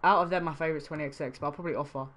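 A man's voice: fairly high pitch, fairly even intonation, very fast, bright, forceful tone. A young man from Wales streaming a game trading session, chatting casually and reacting to his live chat.

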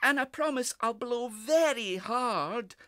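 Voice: mid-range voice, animated, slow pace, a bit bright, slight background noise, pitched above the performer's own voice. A narrator voicing a small, eager, polite young boy breeze in a children's story, with an earnest, cheery manner. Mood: earnest, pleading.

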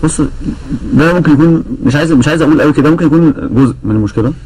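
A man's voice: on the high side, very fast, darkish tone, slightly rough, low-quality recording. A man around thirty with an Egyptian accent, a talk show guest who hesitates as he gives his view. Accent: Egyptian Arabic. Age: 30s. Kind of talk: political talk show discussion. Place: TV studio, indoors. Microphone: lapel mic.

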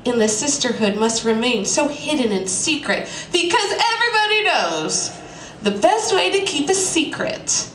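A woman's voice: sits high, big pitch swings, moderate pace. A woman voicing a solemn, wise female spiritual teacher with a ponderous, mock-mystical delivery. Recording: distant echoey room mic, loud background noise.

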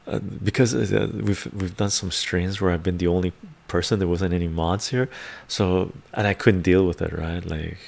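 Man, middle-aged, Canadian accent, low creaky voice, casual ASMR livestream chat, unhurried and rambling, close desk mic. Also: steady tone, a little mellow. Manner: unhurried, rambling, often adding "right?". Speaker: livestream host. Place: small book-lined room at home.

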